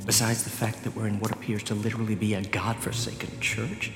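A man's voice: lowish voice, flat delivery, a little fast, lightly acted. A man acting in a radio drama as a bold, teasing explorer with a dry, coaxing wit. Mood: dry, wry. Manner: wry, bantering, coaxing. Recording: echoey reverberant hall, music behind.

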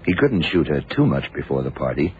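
An actor playing a shrewd, calm, self-assured detective in an old radio drama, speaking in a deliberate, probing, emphatic way. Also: male voice, very deep voice. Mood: confident deduction. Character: shrewd, methodical male detective, calm and sure of himself. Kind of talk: 1970s radio drama acting. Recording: dull, noisy old radio recording.

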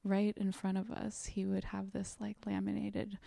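A young woman from South Korea, speaking as an interview guest in a soft, thoughtful way with frequent pauses. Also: medium pitch, monotone, vocal fry, no echo, studio quality. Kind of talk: reflective interview talk.

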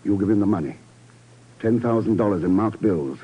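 An actor playing a calm, shrewd investigator in a 1950s radio drama, setting out plans with assurance. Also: male voice, on the low side, brisk, husky. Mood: steady, commanding. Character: calm, shrewd male investigator laying a trap. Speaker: lead actor. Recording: dull vintage radio broadcast recording.

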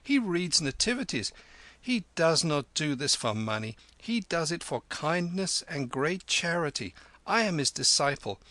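A sly young beggar boy, coaxing and flattering, as a man puts him on. The voice rises high and lively, bright at the top, and is heard close and dry. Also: a little slow, no echo, exaggerated voice. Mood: boastful. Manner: wheedling, flattering. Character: cunning young street boy, wheedling.

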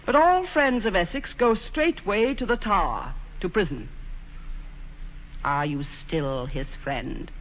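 A woman's voice: mid-range voice, lively intonation, slow. An actress playing an imperious, lovelorn queen in a 1940s radio play, speaking in a regal, theatrical way. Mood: stern. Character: imperious, shrewd, lovelorn ageing English queen. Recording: muffled hissy 1940s radio recording, long reverb.

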